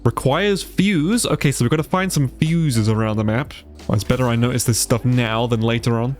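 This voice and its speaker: A man's voice: medium pitch, moderate pace, darkish tone, soft background music. A man with a stuffy, congested nose giving casual, sweary let's play commentary and sounding easily amazed. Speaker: gaming YouTuber, player.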